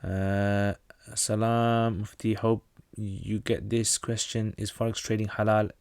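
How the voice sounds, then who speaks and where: A man's voice: lowish voice, level tone, takes it slowly, vocal fry, a little mellow, smooth, no echo, studio quality. A man from North Pakistan, a native Pashto speaker, hosting a casual livestream Q&A. He reads out viewer questions and answers them plainly, often restarting his sentences.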